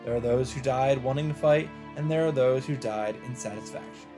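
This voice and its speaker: A man reading a visual novel script aloud in an expressive narrating style. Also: mid-range voice, a little fast. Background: game music.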